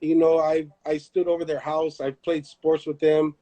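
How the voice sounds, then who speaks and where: A man's voice: on the high side, monotone, moderate pace, dark tone, muffled recording. A young man from Southern California, hosting a casual live chat, talking emphatically and repeating himself as he makes his point. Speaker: host.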